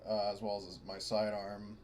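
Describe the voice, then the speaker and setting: Rambling male gamer, lower voice, noisy. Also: monotone, normal speed, a little mellow. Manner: rambling, hesitant, frequently self-correcting. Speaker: player in an online tabletop role-playing game. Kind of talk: casual in-character and out-of-character game talk. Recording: clearly noisy online voice chat.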